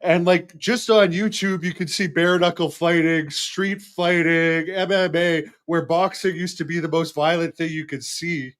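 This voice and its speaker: A man's voice: a little high, steady tone, a little slow, few pauses, fairly bright tone, clean tone. A man hosting an interview, eagerly chatting and filling in facts. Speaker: host. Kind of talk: casual interview chat.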